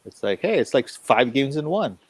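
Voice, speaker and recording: A middle-aged man in casual, informal conversation, given to animated exclamations. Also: mid-range voice, normal speed, slightly rough. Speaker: podcast co-host. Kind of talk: casual chat.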